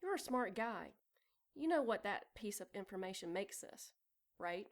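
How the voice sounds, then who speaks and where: A woman's voice: mid-range voice, expressive, normal speed, close to an everyday voice. An actress playing a self-absorbed expectant mother in a noir audio drama, coy, defensive and sly. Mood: smug, sly. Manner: coy, mock-proper, defensive.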